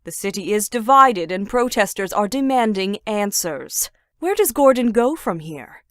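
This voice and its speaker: Actress as a composed, probing news commentator, dry sound. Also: female voice, medium pitch, expressive, measured pace, a bit bright, no room sound. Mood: critical. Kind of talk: fan dub voice acting, news debate.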